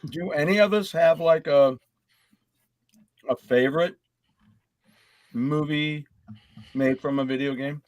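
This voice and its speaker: A man's voice: a little high, steady tone, takes it slowly, long pauses, dry recording. A man co-hosting a podcast in casual, chatty conversation. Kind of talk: casual group chat.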